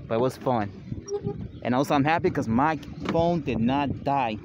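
A man's voice: mid-range voice, normal speed, highs cut off. A young man talking casually to viewers as he hosts a vlog on a phone outdoors. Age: young adult. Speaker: vlogger, channel host. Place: outdoors by a lake, handheld. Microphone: phone at arm's length. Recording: noisy outdoor phone recording.

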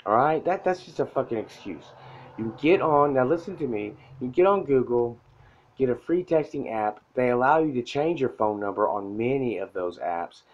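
A soft-spoken man giving a blunt, profane, pushy motivational talk straight to the camera as a self-help vlogger. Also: mid-range voice, animated, moderate pace, highs cut off. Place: indoor room at a whiteboard. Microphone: phone camera mic.

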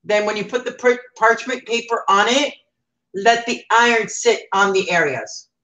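A woman's voice: a little high, moderate pace, fairly bright tone. Brief, directive woman in a roomy space. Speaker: co-host. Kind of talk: casual instructions.

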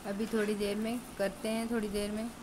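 A woman's voice: mid-range voice, little pitch movement, a little fast. A woman, explaining each step chattily as she cooks at home. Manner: chatty, explains steps while cooking. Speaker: home cook. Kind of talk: cooking tutorial narration. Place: home kitchen at a stove. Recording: noisy home recording.

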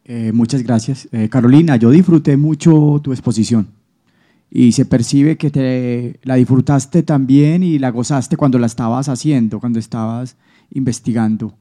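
A man's voice: mid-range voice, moderate pace, dark tone. A middle-aged Colombian man courteously commenting and asking a question from the audience into a handheld microphone. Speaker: audience member. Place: auditorium seating.

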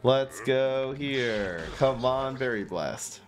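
A man's voice: mid-range voice, animated, takes it slowly, soft background music. A man in his thirties casually commenting on a card game he plays on stream, chatting and joking with his viewers from a small room. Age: 30s. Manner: chatty, self-mocking, reads chat aloud.

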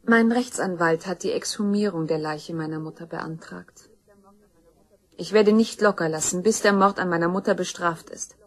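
A woman's voice: lowish voice, normal speed, lightly acted. An actress playing a determined young woman in a crime radio play, accusing and insistent. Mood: determined, demanding. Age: young adult. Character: grim, determined young woman seeking justice for her murdered mother.

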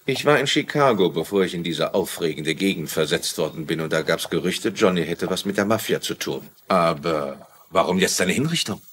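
Male detective, crime drama: low, raspy, dry and sceptical, lively intonation. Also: moderate pace, few pauses. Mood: dry, reasoning. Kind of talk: dubbed TV crime drama acting.

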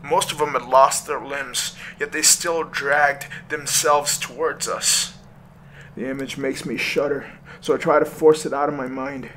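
A man's voice: medium pitch, fairly even intonation, a little slow, fairly bright tone, slight background noise, natural voice. An actor playing a wary young man who narrates in first person, hesitant and full of ums, haunted by nightmares. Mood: uneasy. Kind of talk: audio drama narration.

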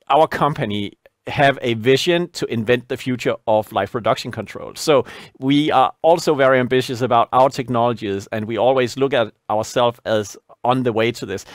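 A man's voice: mid-range voice, dry recording. Danish guy hosting a webinar, dry close sound, bit hesitant with ums. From Denmark. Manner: friendly, slightly halting with fillers. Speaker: company founder presenting. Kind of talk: webinar presentation. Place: studio set at a desk.

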